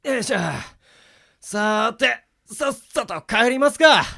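A man playing a hot-tempered young mage and protective older brother in an amateur radio drama, with rough, blunt speech. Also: very high voice, slow, bright, forceful tone, full character voice. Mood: casual. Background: music.

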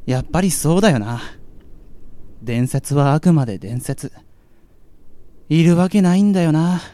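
A man playing a curious young part-timer, narrating his night in a measured, introspective radio drama style. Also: a little high, expressive, mellow, no room sound. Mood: anxious, then resigned.

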